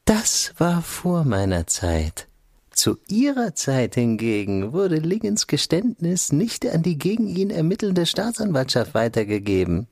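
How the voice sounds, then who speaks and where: A male senior official who hides menace behind formal manners. His husky voice moves in an insinuating, varied melody. The radio drama sound is dry and close. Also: a little high, wildly swooping pitch, a little slow, a little mellow, no room sound, full character voice. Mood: veiled threat.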